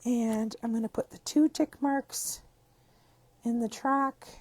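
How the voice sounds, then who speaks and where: A hoarse woman with a croaky voice, chatting upbeat through a card-making tutorial step by step. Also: a little high, fairly even intonation, takes it slowly, a little mellow, dry recording. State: hoarse, losing her voice. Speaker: craft demonstrator.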